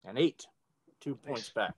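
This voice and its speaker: A man's voice: mid-range voice, animated, unhurried, slightly rough, no room sound, studio quality. A man playing a tabletop game, casually talking through his turn, with faint noise and keyboard clicks.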